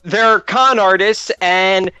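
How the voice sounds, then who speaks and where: A man's voice: high-pitched, slow pace, sharp tone, smooth, no room sound, muffled recording. A man playing a tabletop game, arguing casually in an emphatic, exasperated, lecturing way. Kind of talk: casual argumentative game banter.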